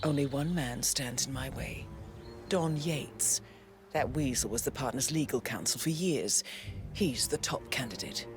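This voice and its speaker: An actress playing a composed, scheming female handler in a game cutscene, with measured, controlled delivery. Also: very deep voice. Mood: confiding. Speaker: video game character. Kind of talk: cinematic game dialogue. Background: game soundtrack music.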